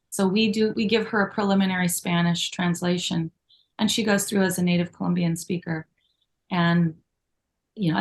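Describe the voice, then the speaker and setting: A woman's voice: mid-range voice, creaky. A middle-aged woman, co-founder of a magazine, talking in an interview with a grateful, storytelling manner full of asides. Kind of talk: conversational interview.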